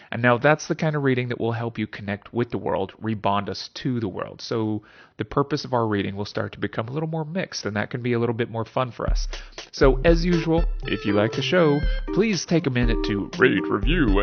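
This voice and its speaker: Animated man hosting a podcast over music. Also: medium pitch, muffled recording. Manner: conversational, wry asides and rhetorical tags. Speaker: podcast host. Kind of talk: scripted philosophy podcast talk with casual asides.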